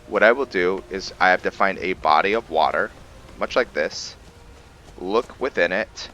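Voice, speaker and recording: Very low-voiced man musing over gameplay. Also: pushed and bright, slight background noise. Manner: musing, explanatory. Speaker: host of a gaming roleplay series. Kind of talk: casual let's play commentary.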